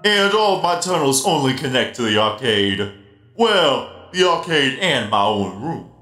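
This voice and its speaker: Guy doing big friendly robot spider dj, slow and bouncy, playful explainer, echoey w music. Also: mid-range voice, sing-song, bright, forceful tone. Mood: genial, explanatory. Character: giant friendly half-blind animatronic spider DJ. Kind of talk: comic dub character acting. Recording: roomy echo, music behind.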